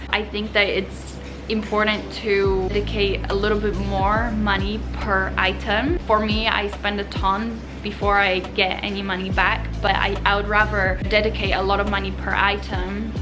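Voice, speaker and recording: A woman whose first language is Polish, running her own clothing brand, answers viewer questions in an earnest, upbeat vlog voice. Her voice sits at a middle pitch. Background music plays clearly beneath her talk. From Poland. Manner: earnest, upbeat advice-giving. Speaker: vlogger and clothing brand founder.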